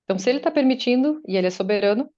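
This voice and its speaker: A woman's voice: a little high, animated, racing, warm tone, dull audio. A woman giving a conversational, faith-based motivational talk on an online call, often acting out her listeners' doubts. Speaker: guest speaker and writer. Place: online meeting. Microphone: video call.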